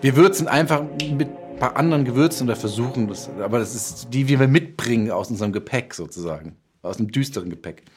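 An author talking casually about writing, thoughtful, with halting restarts. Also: male voice, mid-range voice, animated, soft background music. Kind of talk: casual interview talk.

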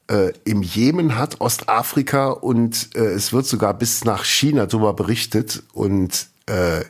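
Husky man telling spooky tales. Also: mid-range voice. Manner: relaxed storytelling with many fillers. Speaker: podcast co-host. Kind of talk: casual chat.

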